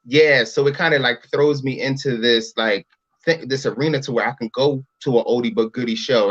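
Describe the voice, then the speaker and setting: A man's voice: medium pitch, fairly bright tone, no echo. Rambling man in his thirties, dry and dull sound. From Philadelphia. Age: 30s. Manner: rambling, repeats "you know what I'm saying". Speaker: interview guest, brand entrepreneur. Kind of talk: casual interview talk.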